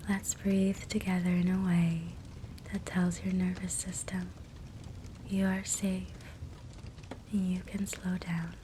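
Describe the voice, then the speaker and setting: A woman guiding a sleep hypnosis meditation in a soft, breathy whisper, gentle and soothing. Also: on the low side, takes it slowly, pauses often. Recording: soft steady fireplace crackle noise. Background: fireplace ambience.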